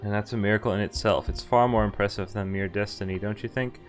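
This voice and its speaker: Guy with a lowish voice reading a visual novel on stream, chatty asides, trips over lines, faint music behind. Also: fairly even intonation, quick pace. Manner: stumbles over read lines and corrects himself. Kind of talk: casual read-aloud with chat asides. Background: background music from the game.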